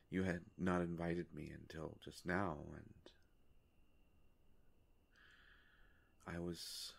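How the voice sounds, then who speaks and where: A man plays an ancient, courtly vampire in an intimate audio roleplay. The voice sits very low with a heavy creak. He speaks very slowly and formally, with melancholy, hushed hesitations. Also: exaggerated voice. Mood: curious, intense. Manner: formal, halting, hushed, measured phrasing.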